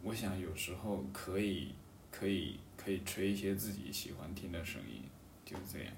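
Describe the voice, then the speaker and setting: A man chatting reflectively in a hesitant, halting way, often repeating himself as he searches for words. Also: low-pitched, lively intonation, gravelly. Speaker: podcast guest, painter. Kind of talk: reflective casual chat. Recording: distant mic, echoey room, faint background noise.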